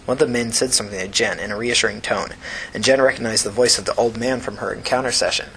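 A man's voice: lowish voice, fairly even intonation, fast talker, creaky, a bit bright, slight background noise, low-quality recording. A man reading scripted fiction aloud as an audiobook narrator.

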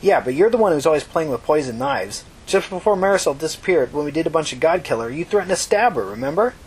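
A woman's voice: low-pitched, lively intonation, quick pace, darkish tone, husky, pitched above the performer's own voice, full character voice, low-quality recording. A woman voicing a blunt, frank young street friend in casual audiobook dialogue.

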